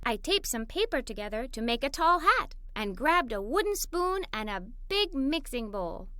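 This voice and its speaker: Woman as adventurous little girl, very lively pitch swings, enthusiastic storybook narration, dry close sound. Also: moderate pace, dry recording, full character voice. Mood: excited. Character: Molly, excited adventurous little girl telling her day. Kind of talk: children's read-aloud story narration.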